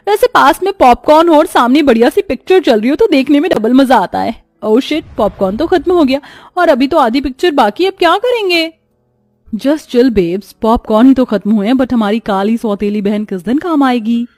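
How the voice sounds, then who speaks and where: A woman performs a spoiled, arrogant young stepsister from an animated moral story. The voice is high and haughty, taunting and ordering people around, recorded dry with music behind it. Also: moderate pace, dry recording. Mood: smug, mocking. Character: spoiled, arrogant, mocking young stepsisters bullying their sister.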